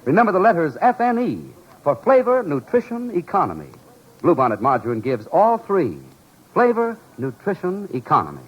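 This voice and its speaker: A man reading a 1940s radio advertisement as an announcer, with a persuasive salesman's delivery and a slightly husky voice. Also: sits high, big pitch swings, normal speed, highs cut off.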